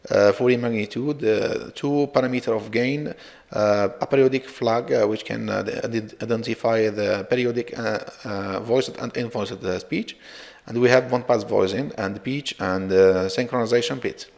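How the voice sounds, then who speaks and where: Male researcher presenting slides; stop-start hesitant delivery, gravelly fry, echoing hall-like sound. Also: lowish voice, steady tone, a little mellow. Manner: halting, hesitant delivery with frequent repeats. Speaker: researcher presenting own work. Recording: reverberant, hall-like echo.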